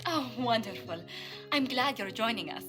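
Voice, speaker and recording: A woman's voice: fairly high pitch, expressive, moderate pace, over music, lightly acted. A woman playing a genteel, playful lady ambassador in a video game, speaking politely and coyly. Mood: delighted. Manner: polite, coy, formal. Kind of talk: video game cutscene acting.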